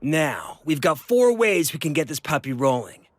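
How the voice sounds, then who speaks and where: An actor playing the eager, upbeat best buddy of a rookie racer in a video game, casual and enthusiastic. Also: male voice, a little high, sing-song, moderate pace. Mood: excited, encouraging. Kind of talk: video game cutscene acting.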